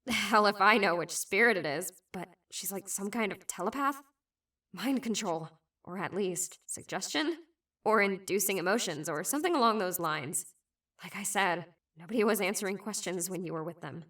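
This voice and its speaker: A woman's voice: medium pitch, animated, moderate pace, a bit bright, close to an everyday voice. Brash, sarcastic young woman who teases without mercy, voiced by a woman. Her voice sits in an ordinary middle register and sounds slightly distant from the mic. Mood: explaining. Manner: sarcastic, teasing, blunt. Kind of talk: fan animation voice acting.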